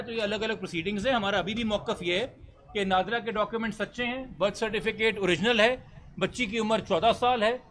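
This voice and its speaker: Casting: Pakistani male lawyer, high voice, giving a formal, emphatic press statement and fielding reporters' questions. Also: fairly bright tone. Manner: articulate, formal, emphatic, mixing in English legal terms.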